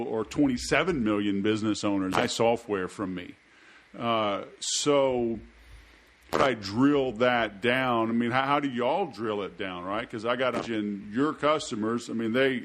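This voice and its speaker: A man hosting a podcast interview, chatting loosely and throwing in jokes. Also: mid-range voice. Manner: loose, chatty, joking asides. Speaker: podcast host. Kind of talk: casual interview chat.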